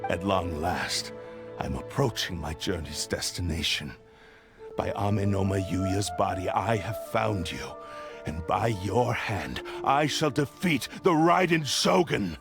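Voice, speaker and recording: An actor voicing an arrogant, menacing cursed blade possessing a man's body, speaking haughtily and declaiming threats in a gravelly voice. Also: low voice, animated, slow, over music, exaggerated voice. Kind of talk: game voice acting. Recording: game soundtrack under voice.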